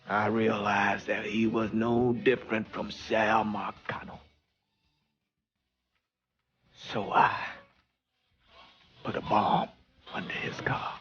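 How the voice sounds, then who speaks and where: An actor playing a frank, hard-bitten mobster with an American accent in a video game cutscene. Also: male voice, lowish voice, a bit bright, husky, muffled recording, exaggerated voice. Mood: grim.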